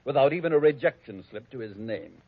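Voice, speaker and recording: An actor playing a prim, snobbish, scheming heir who speaks precisely and sarcastically, in 1940s radio acting on a dull lo-fi old radio recording. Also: male voice, medium pitch, lively intonation, quick pace, husky, no room sound. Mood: haughty.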